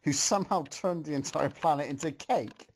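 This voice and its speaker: A man reading a silly story aloud in an excitable, amused way, with asides of his own. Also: on the high side, moderate pace, husky. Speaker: reader of a fan story on a group show.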